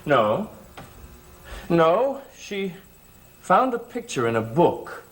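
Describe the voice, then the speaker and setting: Earnest, dramatic gothic soap gentleman. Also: a little high, sing-song, drawn-out words, exaggerated voice. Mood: troubled. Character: earnest, insistent gentleman cousin, protective and suspicious. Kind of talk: 1970s gothic television soap acting.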